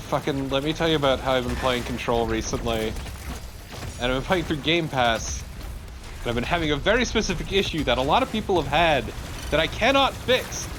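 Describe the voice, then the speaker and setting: A man chatting casually as a let's play co-commentator, conversational and prone to swearing. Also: on the high side. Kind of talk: casual chat over gameplay. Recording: clearly noisy home recording. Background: background noise.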